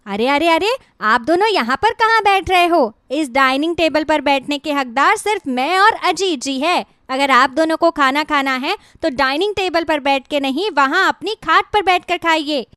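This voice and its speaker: A woman's voice: high voice, animated, moderate pace, few pauses, a bit bright, no echo, clean studio sound. A woman voicing a cruel, bossy young daughter-in-law in a cartoon story, with a haughty, scolding manner. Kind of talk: Hindi cartoon moral-story dialogue.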